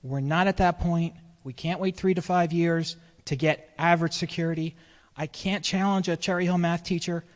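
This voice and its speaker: A man's voice: on the high side, steady tone, moderate pace. A man making an insistent public comment at a school board meeting, often restarting his phrases. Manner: earnest, insistent, with frequent restarts. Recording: livestream with long hall reverb.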